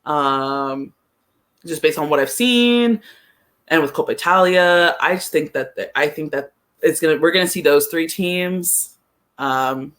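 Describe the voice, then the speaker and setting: A woman's voice: low-pitched, unhurried, a bit bright. A woman in a casual sports chat as a podcast guest, giving her opinions informally and hesitantly, often repeating her phrases. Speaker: podcast guest, soccer pundit.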